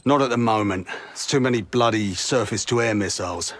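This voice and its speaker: An actor as a brusque British military commander. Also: male voice, lowish voice, fairly quick, no room sound, lightly acted. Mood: brusque, dry. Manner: brusque, sardonic, clipped orders. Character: gruff British SAS commander in a war game. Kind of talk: video game cutscene acting.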